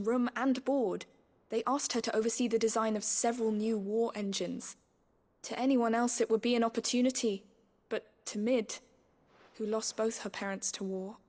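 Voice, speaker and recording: Actress as a higher-voiced, earnest schoolteacher. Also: female voice, a little mellow, lightly acted. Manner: formal, precise, earnest. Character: earnest, courteous schoolteacher woman. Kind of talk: video game dialogue acting.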